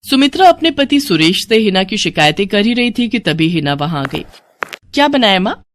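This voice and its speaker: Casting: female narrator for cartoon stories, medium register, animated scripted read. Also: medium pitch, brisk. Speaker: storyteller.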